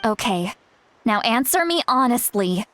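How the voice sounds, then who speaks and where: A woman's voice: a little high, big pitch swings, a little slow, a bit bright, a little hiss or hum. A woman playing a stern, exasperated guardian who scolds like an elder in a video game.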